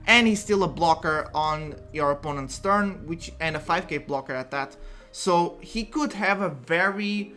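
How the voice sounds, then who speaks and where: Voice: mid-range voice, measured pace, bright, forceful tone, faint music behind. A content creator with a Portuguese accent, explaining a card game strategy in a casual, chatty way and often restarting sentences. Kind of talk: casual explainer talk to camera.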